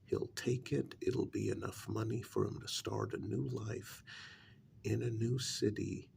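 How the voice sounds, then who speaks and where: A man playing a calm, calculating vampire clan member in a soft-spoken ASMR role-play, speaking in a measured, hushed way with deliberate pauses. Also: on the low side, vocal fry, slight background noise. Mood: calm, scheming.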